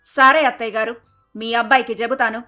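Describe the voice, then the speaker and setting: A woman voicing sharp-tongued, sarcastic village in-laws who scold and bicker in a dramatised moral story. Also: fairly high pitch, fairly even intonation, fairly quick, faint music behind. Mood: grumbling. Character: sharp-tongued bickering mother-in-law and daughter-in-law in village household.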